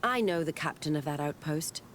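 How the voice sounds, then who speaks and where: Measured scholar companion for fantasy game: lowish female voice, frank, persuasive, faint rumble behind. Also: animated. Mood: skeptical. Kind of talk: video game cutscene acting.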